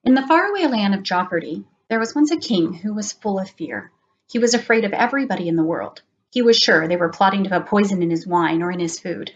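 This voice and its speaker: A middle-aged woman reads a storybook aloud for children. Her delivery is expressive and deliberate, with a medium-pitched voice that suits a storytelling session. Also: lo-fi sound.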